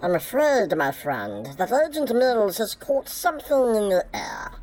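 A woman's voice: medium pitch, huge pitch swings, a little slow, darkish tone, soft background music. A woman voicing a sassy, snobbish male badger with a British accent who talks down to everyone. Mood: snide. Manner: condescending, insulting. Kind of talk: comedy audio drama acting.

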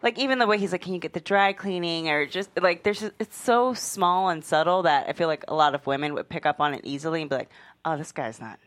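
A woman's voice: on the low side, fast, no echo. A woman talking casually on a panel in a conversational way, repeating words for emphasis. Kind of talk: casual chat.

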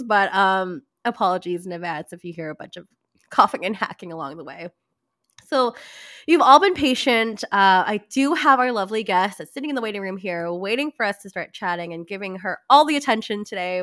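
A woman hosting a casual podcast, her voice hoarse and raspy from a cold, bubbly and gushing as she talks. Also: mid-range voice, a bit bright, no room sound, clean studio sound. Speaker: podcast host.

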